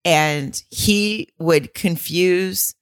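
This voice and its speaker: Low-voiced joking woman co-host. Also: drawn-out words, clean tone, no echo, studio quality. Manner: joking, laughs often, rambling asides. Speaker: podcast co-host. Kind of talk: casual recap chat.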